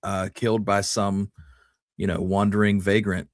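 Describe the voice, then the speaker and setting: Low voiced guy chatting on a history podcast, sort of musing, very dry sound. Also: little pitch movement, normal speed, dry recording. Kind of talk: casual conversational discussion.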